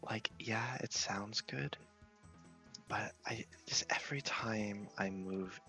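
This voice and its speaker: A man with a lowish, gravelly voice gives soft-spoken ASMR game commentary. He rambles casually and takes his time, sometimes slipping into a whisper, close on a headset mic with music behind him. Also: takes it slowly. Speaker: host of a gaming channel. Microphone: headset microphone.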